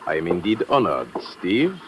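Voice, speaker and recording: Smooth flowery gent, low swooping voice, old radio drama with a bit of noise. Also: male voice, wildly swooping pitch, moderate pace, low-quality recording. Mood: courtly. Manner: florid, formal, courtly. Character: suave flowery Egyptian gentleman from Cairo, smoothly insinuating. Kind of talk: 1950s radio drama acting. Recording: vintage radio recording, slight noise.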